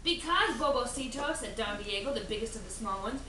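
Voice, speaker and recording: A woman reading a children's storybook aloud with animated, theatrical narration. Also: mid-range voice, fast talker. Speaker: storybook reader. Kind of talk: dramatic read-aloud narration. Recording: echoey room from a distance, faint hiss.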